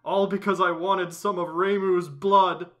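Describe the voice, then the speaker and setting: A man voicing a proud, distraught young vampire mistress in an amateur comic dub, with halting, theatrical delivery. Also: sits high, little pitch movement, fairly bright tone. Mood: shocked despair. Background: music.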